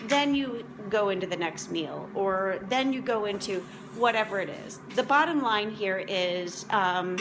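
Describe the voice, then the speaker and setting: A woman's voice: medium pitch, lively intonation, unhurried, hardly any pauses, background noise. A woman in her forties chatting casually to viewers as a motivational vlog host, talking in a rambling, conversational way. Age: 40s. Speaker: motivational vlog host and food prep coach. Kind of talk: casual chat to camera.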